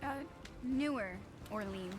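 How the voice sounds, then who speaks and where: A woman's voice: mid-range voice, takes it slowly, over music, lightly acted. A woman voicing a chipper, slightly anxious and prim female robot driver with dry sarcasm in a video game cutscene. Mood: matter-of-fact.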